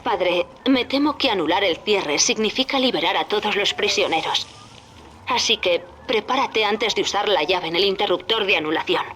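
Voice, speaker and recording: A woman's voice: medium pitch, lively intonation, normal speed, a bit bright, faint music behind, lightly acted. A woman playing a devoted, determined young daughter who guides her father in a video game, speaking earnestly and urgently. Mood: resolute. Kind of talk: dramatic video game acting.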